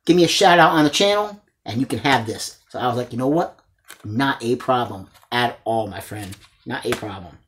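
A man in his forties talks to the camera as a channel host. His voice sits at an average pitch. He is enthusiastic and informal, presenting items in a casual, chatty way and addressing viewers as guys. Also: animated, moderate pace, fairly bright tone, slight background noise. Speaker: host of a movie collecting channel. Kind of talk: casual chat showing a haul to camera. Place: small indoor room lined with disc shelves.

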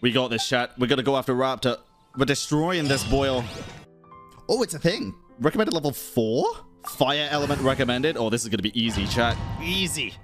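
A man in his thirties with a medium-pitched voice streams a game. He comments casually and talks to his viewers up close on the mic, with clear game music behind him. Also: expressive, normal speed. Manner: reacts aloud, chats to viewers.

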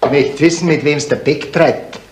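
A man's voice: on the high side, steady tone, normal speed, roomy sound, natural voice. An actor playing a violent, hot-tempered village innkeeper and father who taunts and bullies in a German radio crime drama. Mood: taunting, menacing. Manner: taunting, shouting questions. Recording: noisy 1970s radio play recording.

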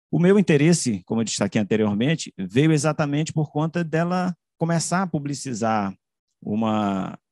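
A man with a Brazilian accent giving an explanatory academic presentation over a video call, halting as he repeats and corrects his words. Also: mid-range voice, a little mellow, no echo, clean studio sound. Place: indoor room, on a video call. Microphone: video call microphone.

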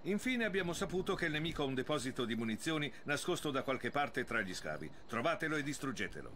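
A commanding officer briefs his troops in a war game. The voice is forceful and lively, matter-of-fact in its orders, with some noise behind it. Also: medium pitch, quick pace. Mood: commanding, businesslike. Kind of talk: scripted mission briefing.

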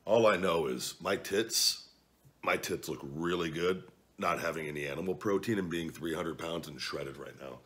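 A man in his forties chatting casually at home as a vlog host, reading viewer comments into a desk camera. He is blunt and profane, jumping from low mutters to loud outbursts. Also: moderate pace. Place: home living room at night, seated at a table.

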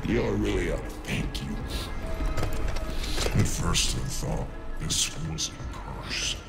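A man voicing a gloating, menacing mutated prison guard turned monster in a horror game, with a gravelly voice and slow, theatrical taunts. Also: sits low, expressive. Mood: gloating menace. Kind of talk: video game horror acting. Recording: loud music over voice.

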